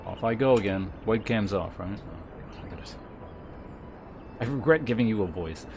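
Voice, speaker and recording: A man's voice: fairly low pitch, normal speed, darkish tone, a little hiss or hum. A man chatting casually as a game streamer, in a wry, self-deprecating way. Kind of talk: casual live stream chat.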